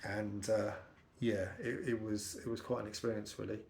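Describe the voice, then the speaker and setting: A middle-aged British man with a slightly croaky voice, chatting casually and telling personal anecdotes in a rambling, conversational way. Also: lowish voice, level tone, moderate pace, darkish tone, roomy sound, a little hiss or hum. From United Kingdom. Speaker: vlogger.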